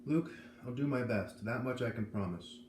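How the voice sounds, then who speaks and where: A man's voice: medium pitch, normal speed, a little mellow, lightly acted. A kindly but grave male doctor as a man reads him from a children's novel explains carefully and with measure. The voice sits in an echoey room with faint noise. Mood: gently reassuring. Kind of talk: read-aloud of a children's novel. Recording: roomy echo, noticeable background noise.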